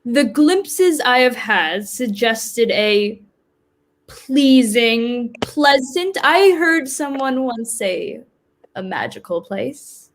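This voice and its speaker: A woman playing Death as a formal, dutiful, stage-struck figure in a comic play reading, with a measured, literal way of talking. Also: on the high side, very animated, heavily acted. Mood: wistful. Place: remote virtual reading. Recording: noisy online video call audio.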